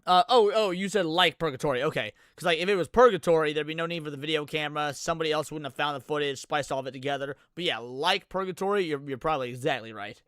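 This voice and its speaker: High-voiced man reacting, dry close sound. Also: quick pace, hardly any pauses, smooth, dry recording. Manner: animated, profane slang, exclaiming. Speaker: streamer reacting to horror video. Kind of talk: casual live reaction commentary.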